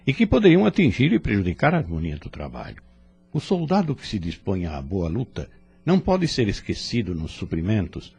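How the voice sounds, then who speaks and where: A man playing a wise, serene spirit instructor in an audio drama, teaching in a solemn, measured way. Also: low-pitched, gravelly, darkish tone, slightly rough, exaggerated voice. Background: music.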